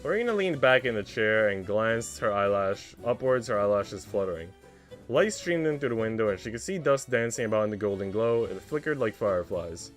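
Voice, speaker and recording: A man's voice: lowish voice, animated, fairly quick. A man reading the narration of a visual novel aloud on a livestream, in a storytelling style. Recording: music playing behind the voice. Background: background music.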